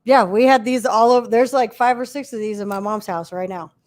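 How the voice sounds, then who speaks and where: A woman's voice: fairly high pitch, brisk, a little mellow. Chatty woman telling stories. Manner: chatty storyteller, acts out quotes. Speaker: co-host. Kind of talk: casual chat.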